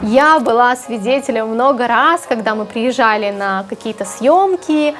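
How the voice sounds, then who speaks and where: A woman's voice: a little high, lively intonation, moderate pace, over music. A woman from Ukraine, a native Ukrainian speaker, giving articulate, emphatic career advice in an explanatory vlog as a journalist.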